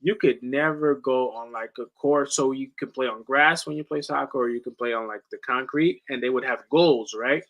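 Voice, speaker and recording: A man's voice: medium pitch, normal speed, few pauses, highs cut off. A man in his late twenties from the Netherlands who moved to the US as a child, chatting casually about sports with lots of slang and swearing. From Netherlands, moved to the US at ten. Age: late 20s. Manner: slangy, swearing, says "bro" often. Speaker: sports watch-along streamer.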